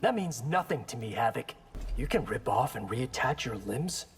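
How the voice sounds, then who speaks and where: An actor playing a wary, principled young-hearted fighter in a video game, husky-voiced, blunt and dismissive. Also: male voice, medium pitch, animated, normal speed, long reverb tail, a little hiss or hum, close to an everyday voice.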